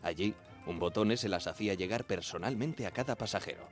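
A man acts as a young telegraph operator from the 1910s in a radio drama. His voice is low and he tells his story in an earnest, measured way, with music behind him. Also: close to an everyday voice. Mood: measured, reminiscing. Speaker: actor narrating a radio drama. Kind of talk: first-person radio fiction narration.

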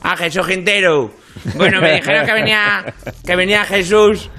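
A man's voice: sits high, moderate pace, pushed and bright. A man voicing a chatty older comic receptionist in heaven in a radio sketch, wry and mock-grumbling, stumbling over repeated words. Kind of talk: radio comedy impression sketch.

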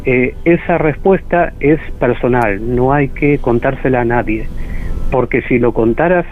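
A man's voice: mid-range voice, unhurried, a little hiss or hum. Hesitant man on a tinny phone line. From Argentina. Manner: hesitant, many fillers, explanatory. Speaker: guest author. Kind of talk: radio phone interview.

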